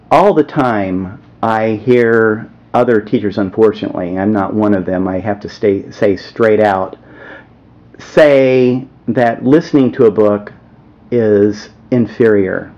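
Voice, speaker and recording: A man's voice: fairly low pitch, a little mellow. An American man asking questions as an interviewer in a casual, conversational style. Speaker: interviewer, teacher. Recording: slightly muffled, dull sound.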